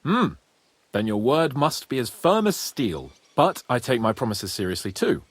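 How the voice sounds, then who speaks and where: An actor playing a proud, hot-headed young nobleman in a medieval video game, haughty and expressive. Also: medium pitch, moderate pace, breathy edge, dry recording. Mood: sincere. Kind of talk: video game cutscene acting.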